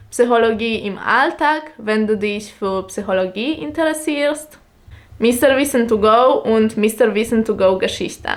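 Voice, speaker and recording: A young woman whose first language is Polish explains German learning in careful, non-native German with a Polish accent, speaking deliberately as a teacher. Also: fairly high pitch. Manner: slow, careful, deliberate delivery with small grammar slips.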